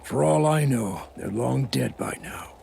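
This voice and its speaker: A man voicing a bitter, defiant townsman with a gravelly voice in a video game. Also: medium pitch, expressive, unhurried, darkish tone, a little hiss or hum. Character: bitter, defiant oppressed townsman who lost family.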